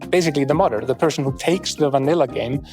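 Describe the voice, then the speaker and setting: A man's voice: a little high, fast, darkish tone, faint music behind. A man talking casually in an interview, explaining things in an informal way. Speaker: film creative director.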